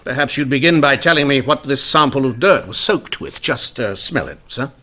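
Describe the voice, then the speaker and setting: An actor playing a shrewd, composed Victorian-era police inspector in a radio mystery, speaking in a measured, explanatory way. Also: male voice, medium pitch, lively intonation, sharp tone, no room sound. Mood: assured, accusing. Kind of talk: old-time radio mystery drama acting.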